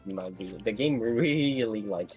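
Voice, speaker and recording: A man's voice: fairly low pitch, a little slow, darkish tone, faint music behind. A man chatting casually and hesitantly as he puzzles through a game on a livestream. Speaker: player in a fan dub livestream.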